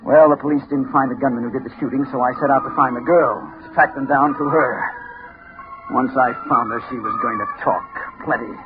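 A man's voice: medium pitch, fairly even intonation, a little fast, lightly acted. An American man playing a wary, determined newspaper reporter in a 1950s radio drama, brooding and clipped. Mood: grim, determined. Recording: noisy, tinny 1950s radio recording.

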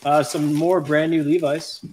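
An upbeat man in his thirties chats through a live unboxing, calling out finds and reading out viewer comments. The sound comes from a room stacked with boxes, with steady noise in the feed. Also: fairly high pitch, a little slow. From South Africa. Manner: upbeat, reads chat aloud. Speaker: channel host. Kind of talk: casual live-stream unboxing chat.

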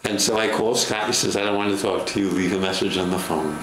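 Older male storyteller, low-pitched, halting delivery, echoey noisy studio sound. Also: fairly even intonation. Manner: halting, repeats words. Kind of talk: personal storytelling in conversation. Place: indoor TV studio. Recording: roomy echo, clear background noise.

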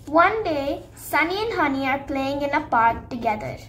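A woman hosting her channel and narrating a children's bedtime story in a slow, sing-song way. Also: high-pitched, expressive, a bit bright, slight background noise.

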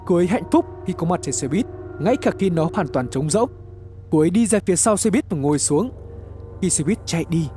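A male narrator tells a dramatic horror tale with plenty of suspense. His voice sits high for a man and swings widely in pitch, yet the tone stays mellow. Faint music plays behind him. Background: background music.

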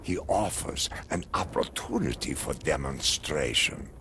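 Gravelly, pompous dictator general. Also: male voice, rumbling low, expressive, noisy background, heavily acted. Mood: cold menace. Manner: pompous, deliberate, theatrical, formal phrasing. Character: brutal middle-aged male island dictator general, grandiose, menacing. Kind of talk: dramatic video game cutscene acting. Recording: music behind the voice.

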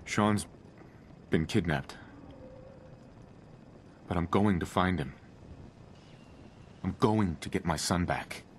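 An actor playing a shaken, grieving but determined father in a video game, halting and earnest. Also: low-pitched, slight background noise. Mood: grim, determined. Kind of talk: naturalistic video game acting.

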